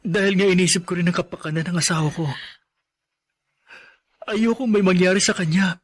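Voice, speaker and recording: A man acting a high, husky, remorseful young husband. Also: brisk. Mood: pleading, remorseful. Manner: earnest, deferential, apologetic. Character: remorseful young husband and new father, respectful, pleading. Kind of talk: melodramatic drama acting.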